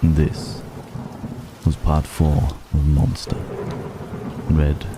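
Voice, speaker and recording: A man's voice: a bass voice, level tone, very slow, background noise. A man reading a scripted closing credit for an audio drama as its performer. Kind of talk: scripted sign-off announcement. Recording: clear rain and thunder behind.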